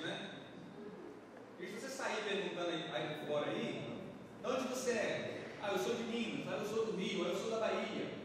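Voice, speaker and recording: A Brazilian pastor preaching a sermon to his congregation in a conversational way, often checking in with the listeners through short tag questions. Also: high voice. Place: church sanctuary. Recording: echoey church hall from far off with background noise.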